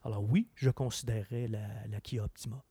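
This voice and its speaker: A man with a Québécois French accent, a car expert chatting on a radio show in an opinionated, animated way. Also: on the low side, fairly quick, slightly rough, no echo. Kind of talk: casual chat.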